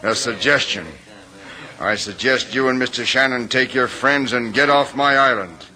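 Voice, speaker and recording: A man's voice: medium pitch, close to an everyday voice, rough recording. An actor playing a bitter, world-weary man guarding hidden gold, with sardonic, theatrical phrasing in old-time radio drama style. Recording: old radio recording with faint hiss.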